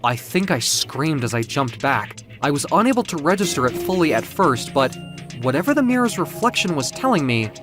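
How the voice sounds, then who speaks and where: A man narrating first-person audio fiction in a theatrical, expressive, confiding way. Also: on the high side, moderate pace. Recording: music under the voice. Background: music.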